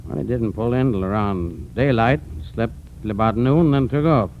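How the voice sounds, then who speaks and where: A man's voice: medium pitch, moderate pace, lightly acted. An actor, a man, playing a folksy, obliging tourist camp owner in a 1940s radio drama, hesitant and helpful. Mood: obliging, curious. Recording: narrow, noisy 1940s radio recording.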